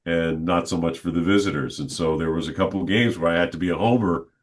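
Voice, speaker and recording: A middle-aged man, a stadium announcer, telling stories in a relaxed, good-humored interview. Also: deep voice, quick pace. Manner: good-humored, reflective, talks at length. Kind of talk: casual storytelling in an interview.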